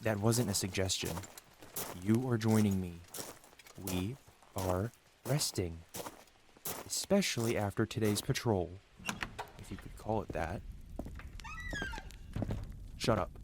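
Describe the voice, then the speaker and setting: A man's voice: lowish voice, takes it slowly, long pauses, background noise. A man voicing an angry yet protective dragon who scolds a rider in a dramatic, emotional fantasy ASMR roleplay. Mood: curt, commanding.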